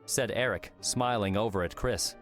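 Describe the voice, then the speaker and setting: Male narrator, scripted novel reading, fairly low register, light music bed. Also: moderate pace. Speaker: audiobook narrator. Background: soft music.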